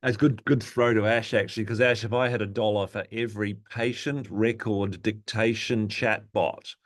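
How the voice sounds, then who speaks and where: Male panel moderator, fairly deep voice, informal and chatty, unscripted. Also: fairly even intonation, normal speed. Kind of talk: casual, conversational panel moderating.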